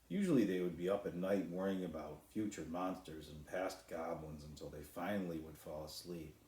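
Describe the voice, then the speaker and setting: Male author, children's storybook narration, deep pitch, roomy echo. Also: a little mellow. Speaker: author reading own children's book.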